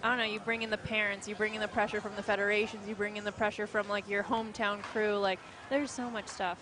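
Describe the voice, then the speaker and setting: A woman's voice: medium pitch, little pitch movement, fast. A young woman skater as guest commentator, talking casually and hesitantly with many fillers. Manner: hesitant, filler-heavy, lots of "like". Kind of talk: casual chat. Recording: crowd noise behind.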